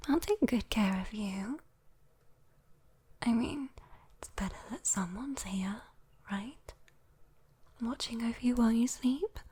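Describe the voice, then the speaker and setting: British woman doing a teasy sweet demon girl asmr, super breathy whispering, slow n soothing, coaxing. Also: a little high, dark tone, heavily acted. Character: sweet, teasing female demon coaxing a sleeper for their soul. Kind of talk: ASMR roleplay.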